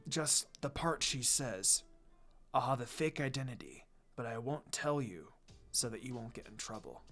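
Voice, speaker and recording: A man's voice: mid-range voice, animated, normal speed, fairly bright tone. A man voicing a brooding, menacing teenage ninja boy in a subdued, terse way for a fan fiction reading. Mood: secretive, regretful. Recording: music behind the voice.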